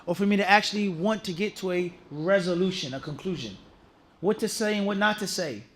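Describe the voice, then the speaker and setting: A man's voice: high voice, fairly quick. A man giving an Islamic lecture to a congregation, teaching point by point in an emphatic way, with rhetorical questions and repeated phrases. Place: mosque, speaking to a live audience.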